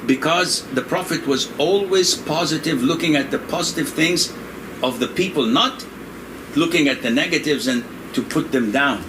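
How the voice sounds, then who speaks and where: An older man originally from Indonesia, a religious scholar answering questions in a relaxed, joking, digressive way, laughing often. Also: on the high side, normal speed. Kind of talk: conversational religious discussion. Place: room in Saudi Arabia. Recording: noisy room with air conditioning hum.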